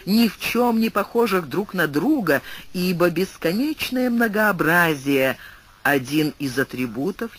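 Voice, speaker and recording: A man voicing a wise male angel who speaks in a solemn, measured way. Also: high voice, fairly bright tone, exaggerated voice.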